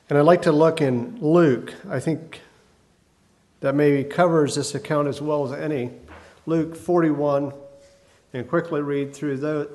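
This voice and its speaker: A man preaching a sermon, hoarse from a cold, talking in a folksy, halting way with many fillers. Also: a little high, a little mellow.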